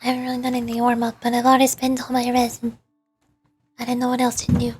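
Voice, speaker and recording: A woman VTuber chatting casually on a gaming livestream, softly and often in a murmur. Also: fairly high pitch, completely flat delivery, fast talker, over music. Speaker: VTuber game streamer.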